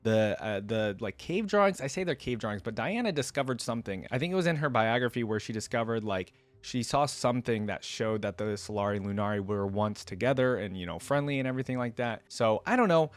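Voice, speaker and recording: A man's voice: medium pitch, animated, fairly quick, hardly any pauses, no room sound. A man chatting casually and informally to his viewers as a reaction video host, rambling conversationally as he goes. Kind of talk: casual unscripted chat to viewers.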